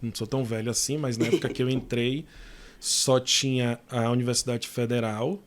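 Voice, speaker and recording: A man's voice: medium pitch, fairly even intonation, brisk. A man, a physiotherapy professor chatting as an interview guest, telling his story at ease with fillers and restarts. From Salvador, Bahia, Brazil. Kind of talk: casual interview conversation.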